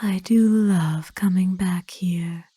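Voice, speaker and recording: A woman voicing a kind, ancient aristocratic vampire lady in a whispered ASMR roleplay, speaking softly with formal, soothing, wistful phrasing. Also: fairly low pitch, takes it slowly, no room sound. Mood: soft, admiring. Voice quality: soft, breathy whisper.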